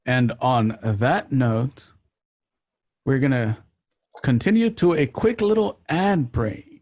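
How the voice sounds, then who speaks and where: A man's voice: mid-range voice, animated, slow pace, dry recording. A man delivering a scripted promo as the announcer of a radio show, with guitar music playing behind him. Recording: dull lo-fi sound under loud music.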